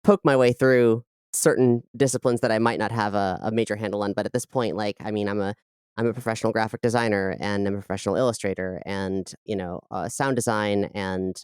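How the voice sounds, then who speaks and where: A woman's voice: very deep voice, fairly even intonation, brisk, a little mellow, clean tone, dry recording, studio quality. A woman giving casual, reflective interview answers as a podcast guest, often qualifying what she says.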